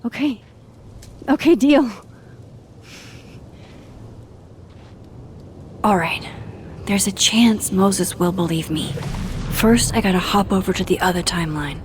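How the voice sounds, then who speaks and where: A woman playing an earnest, anxious young woman in a video game drama, with a halting, pleading way of talking. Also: mid-range voice, music in the background, natural voice. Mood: hopeful, musing. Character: earnest, anxious young woman with secret timeline-hopping power.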